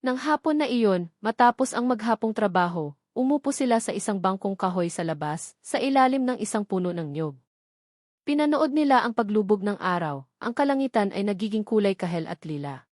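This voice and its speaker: A woman with a Filipino accent reads a scripted romance story as narrator, with measured, expressive dramatic delivery. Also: mid-range voice, dry recording, studio quality.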